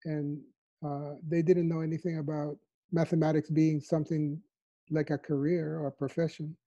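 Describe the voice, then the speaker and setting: Soft-spoken man, dark muffled call audio. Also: a little high, level tone, moderate pace. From El Salvador. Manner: soft-spoken, thoughtful, with frequent fillers. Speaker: mathematician interviewee. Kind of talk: reflective interview answers. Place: home living room. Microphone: lapel mic on a video call. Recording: dull lo-fi video call audio.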